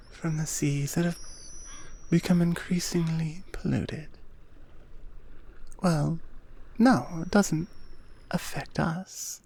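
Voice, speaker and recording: Woman playing a slow, breathy Amazon queen. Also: sits low, mellow, exaggerated voice. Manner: measured, deliberate, formal, with frequent pauses. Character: regal, centuries-old Amazon queen, gracious, curious, wary of outsiders. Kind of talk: ASMR roleplay audio drama, addressed to the listener.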